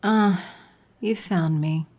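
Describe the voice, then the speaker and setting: A woman playing a smirking, sinister villainess in an audio drama, worldly and unbothered, with a languid, creaky drawl. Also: fairly low pitch, takes it slowly, darkish tone. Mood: smug, languid.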